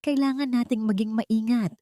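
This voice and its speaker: A woman voicing a calm, serious, protective male stranger with an earnest, reassuring manner in audiobook dialogue. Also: on the high side, normal speed, no echo, clean studio sound, pitched above the performer's own voice, natural voice. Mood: alert.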